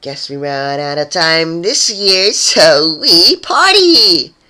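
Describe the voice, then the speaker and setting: A performer voicing a bubbly, hyperactive young female cartoon pony who pouts and wheedles with drawn-out squeals. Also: mid-range voice, sing-song, sharp tone, pitched above the performer's own voice, exaggerated voice. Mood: gleeful. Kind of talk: cartoon character voice in fan fiction reading.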